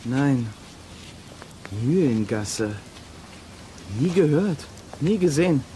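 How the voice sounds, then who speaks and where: A man's voice: a little high, lively intonation, takes it slowly, dark tone, a little hiss or hum. An actor playing a cocky, stubborn boy detective in a children's radio play, talking in a slangy, flippant way. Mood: puzzled, frustrated.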